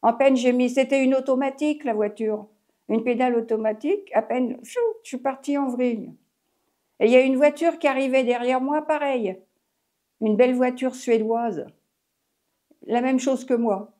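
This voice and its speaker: A woman's voice: high voice, moderate pace, mellow. Chatty French woman in her eighties. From France. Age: 80s. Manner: rambling, chatty, restarts often. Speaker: retired taxi driver. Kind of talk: oral history interview.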